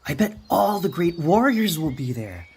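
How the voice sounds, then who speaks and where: An actor voicing a bold, cheerful young male apprentice cat, husky and breezy, in an audiobook fan dub. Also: mid-range voice, very animated, moderate pace, a little mellow, exaggerated voice. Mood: eager.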